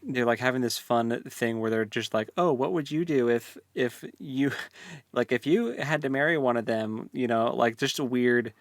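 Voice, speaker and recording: A man with a mid-pitched voice co-hosts a podcast in a very dry-sounding space. He talks casually about a book, with slangy, loose phrasing. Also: normal speed, no echo. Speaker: podcast co-host. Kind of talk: casual book discussion chat.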